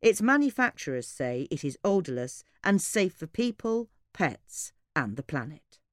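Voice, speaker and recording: Low-pitched woman reading scripted advice. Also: sing-song, measured pace, dry recording, clean studio sound. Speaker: podcast reader. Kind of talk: scripted informative narration of gardening advice.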